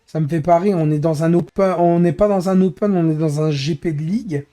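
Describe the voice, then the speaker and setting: A hoarse man who is losing his voice, grumbling in exasperation as he comments live on his racing game stream. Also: on the high side, a little fast, dark tone. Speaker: streamer and racing league head.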